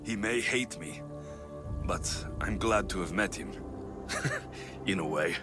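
An actor playing a tough, self-assured Greek mercenary in a video game, with a blunt, dry, confident delivery. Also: male voice, deep voice, expressive, takes it slowly, music in the background. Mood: guarded, blunt. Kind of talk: dramatic video game cutscene acting.

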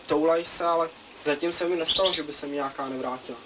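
An actor playing a shy young man who talks hesitantly and softly to his girlfriend. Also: a little high, fairly even intonation, heavy background noise, lightly acted. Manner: hesitant, mumbling, soft.

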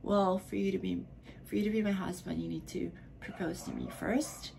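A woman's voice: mid-range voice, fairly even intonation, moderate pace, vocal fry, noisy background. A young woman, drunk, answering viewer questions in a casual home vlog, slurring, giggling and slipping into whispers. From Philippines. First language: Filipino (Tagalog). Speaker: vlogger. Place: bedroom at home, indoors.

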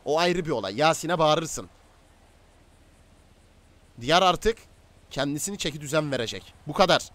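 A man's voice: a little high, lively intonation, moderate pace, long pauses, a bit bright. Man playing a stern, menacing crime boss. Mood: commanding. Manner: domineering, interrogating, issuing orders and threats. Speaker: gang boss in an online roleplay game. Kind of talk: improvised game roleplay dialogue.

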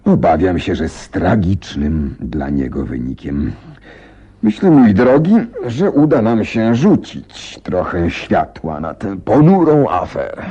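An actor playing a shrewd, self-assured male detective in a radio drama, speaking in deliberate, emphatic, drawn-out phrases. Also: low-pitched, big pitch swings, warm tone, husky, heavily acted. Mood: grave, analytical. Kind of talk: Polish radio drama acting. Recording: dull, muffled old radio recording.

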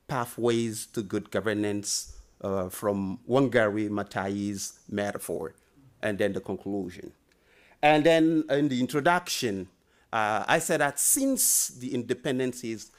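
Man, 40s, deep-ish voice, earnest lecturer, desk mic. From Mali. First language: French. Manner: earnest, many "and then" links, rises in emphasis. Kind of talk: academic lecture. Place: lecture room, indoors. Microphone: desk microphone.

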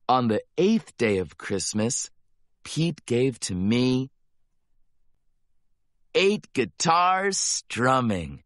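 A male narrator reads a children's rhyming picture book at a very slow pace. His delivery is playful and drawn out, with lively swings in pitch. The recording is close and dry. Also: dry recording. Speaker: storybook narrator.

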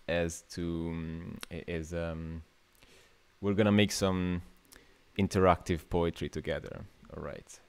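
Italian guy in his 20s w low voice, hosting a chatty livestream workshop, kinda hesitant and informal. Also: clean tone. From Italy. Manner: informal, hesitant, thinking aloud. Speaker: workshop host, new media artist. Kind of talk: casual livestream masterclass, chatting with viewers. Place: small indoor room.

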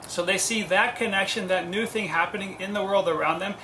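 A man in his thirties, a priest, preaching a lively homily outdoors under a tent on a lapel mic, acting out the people he quotes. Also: sits high, fairly quick. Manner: animated, gesturing, acts out quoted voices. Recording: echoey with faint ambient noise.

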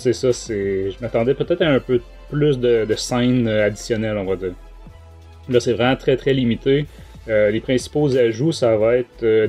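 A man's voice: medium pitch, darkish tone. Québécois man chatting on a talk show. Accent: Québécois French. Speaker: podcast host. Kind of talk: casual talk show chat.

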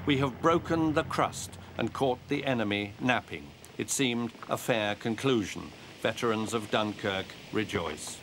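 British newsman over clear background noise. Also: fairly low pitch, a little slow, few pauses. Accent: British English. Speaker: television news reporter. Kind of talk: scripted news report narration.

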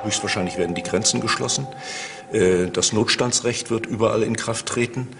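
A man's voice: fairly low pitch, brisk, creaky. A man explaining as an expert interviewee, spontaneous and formal, with some hesitation.

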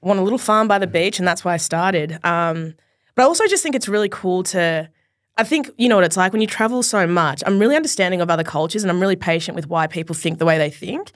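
A woman's voice: on the low side, fast talker, dry recording. Young aussie woman chatting on a podcast, laughs a lot, swears, dead dry studio sound. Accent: Australian. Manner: laughing, swears freely, animated. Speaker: podcast guest, athlete. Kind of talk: casual chat. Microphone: studio mic on boom arm.

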